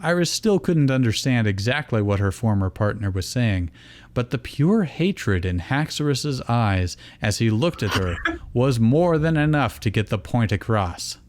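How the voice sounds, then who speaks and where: A man with a mid-pitched voice reads a story aloud at a leisurely pace. He narrates plainly and slips into casual, joking asides as host. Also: lively intonation, a little slow, a little mellow, slight background noise. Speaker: reader, host. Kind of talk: comedic fan fiction narration with casual asides.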